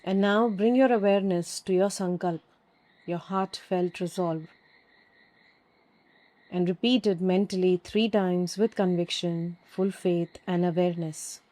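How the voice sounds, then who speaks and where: A woman teaching yoga nidra, giving calm, soothing guided meditation instructions with long pauses. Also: fairly low pitch, rough recording.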